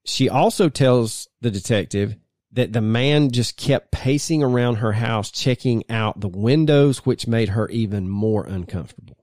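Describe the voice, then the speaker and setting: Man, true crime podcast host, measured storytelling, mid-pitched voice, dry close sound. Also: a little mellow, no echo. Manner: measured storytelling, reading case details.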